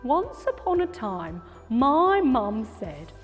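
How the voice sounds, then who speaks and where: A cheeky, wide-eyed young boy, as a woman puts him on, tells his story in a high voice that leaps all over. He gasps and sings his words out slowly. The voice rings with a long reverb over faint music. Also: sing-song. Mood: excited. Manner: gasping, breathless, sing-song. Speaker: storyteller actor. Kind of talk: theatrical children's storytelling.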